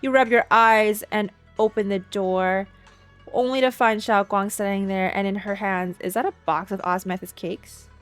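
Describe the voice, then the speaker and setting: Female game streamer, mid-pitched, expressive story reader and casual reactor, faint music underneath. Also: moderate pace. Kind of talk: reading game narration aloud with casual reactions.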